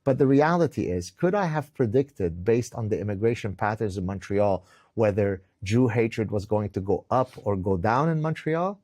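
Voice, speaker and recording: A man's voice: fairly low pitch, expressive, moderate pace, a little mellow, no echo. A middle-aged man from Lebanon, giving emphatic interview answers, often repeating words as he starts and tagging points with "right?". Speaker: interview guest, author. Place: indoors.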